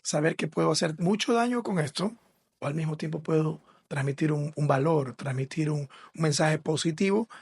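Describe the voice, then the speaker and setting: A man's voice: on the high side, moderate pace, gravelly, darkish tone, slightly rough. A man from Ecuador, a school administrator, explaining his views earnestly in a podcast interview. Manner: earnest, explanatory, with fillers and restarts.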